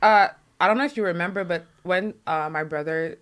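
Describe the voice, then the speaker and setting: A woman's voice: lowish voice, brisk, a bit bright. A woman co-hosting a podcast, speaking in casual, conversational chat. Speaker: podcast co-host.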